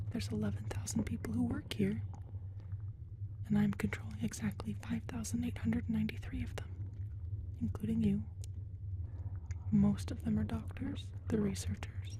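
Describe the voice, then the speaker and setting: A woman voicing a giant, cunning, predatory alien brood mother in a whispered ASMR audio roleplay, with a hushed, controlling, coolly menacing manner. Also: fairly high pitch, steady tone, normal speed, long pauses, noisy background, close to an everyday voice. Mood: sly, conspiratorial.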